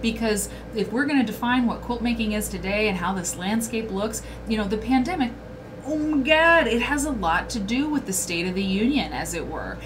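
A chatty woman hosts a casual livestream from a small room, talking straight to her viewers and reading their comments out loud. A webcam picks her up, with clear noise underneath her voice. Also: a little high, expressive, normal speed. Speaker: host.

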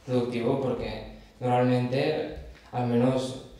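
A man's voice: medium pitch, flat delivery, slow pace, warm tone, distant mic. Earnest man speaking in an echoey hall. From Catalonia. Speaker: audience member, theatre forum participant. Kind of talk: open-forum remarks. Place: theatre auditorium.

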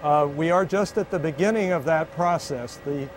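A man's voice: on the high side, moderate pace, slight background noise. An American man in his sixties, an aviation official, explaining methodically to camera on a lapel mic. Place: inside an aircraft cabin.

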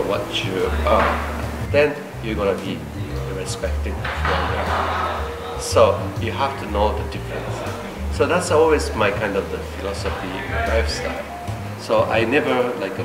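An older Japanese man with a Japanese accent answers interview questions slowly and thoughtfully, his voice sitting fairly low. He pauses often and fills gaps with "uh" and "you know". The room sounds roomy, with loud music underneath. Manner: thoughtful, halting, many "uh" and "you know", gestures with his hands. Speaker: interviewee, biotech managing partner. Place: indoor rooftop restaurant with large windows.

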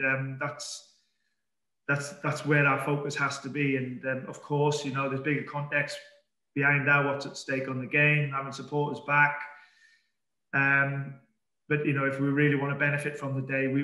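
Man, football manager, press conference, flat delivery, crisp bright tone, roomy echo. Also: mid-range voice. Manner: calm, measured, many fillers and restarts. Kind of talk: post-match press conference. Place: indoor press room in front of a sponsor board. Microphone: webcam on a video call. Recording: echoey room.

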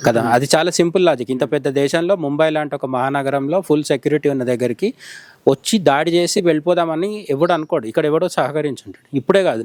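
A man's voice: a little high, a little mellow, no echo. A middle-aged man with a Telangana Telugu accent giving conversational news analysis as a journalist, explaining by posing questions and answering them. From India. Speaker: journalist interviewed as commentator.

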